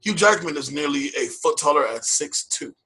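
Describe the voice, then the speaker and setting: Bright, husky-voiced man co-hosting a podcast. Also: on the high side, animated, normal speed, poor audio. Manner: loose, hype-style delivery with slang and repeated phrases. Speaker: podcast co-host. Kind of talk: casual movie-review chat.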